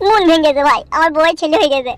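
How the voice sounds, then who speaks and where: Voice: high-pitched, animated, racing, background noise. A performer voicing a whiny, heartbroken cuckolded husband, a comic cartoon fool. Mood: anguished. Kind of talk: amateur Bengali cartoon comedy dialogue. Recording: music under the voice.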